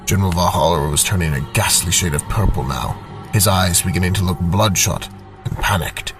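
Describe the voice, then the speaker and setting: A man narrating a dramatic fantasy story from a script in a deliberate, theatrical manner. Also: low-pitched. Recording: very loud music under the voice. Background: cinematic soundtrack music.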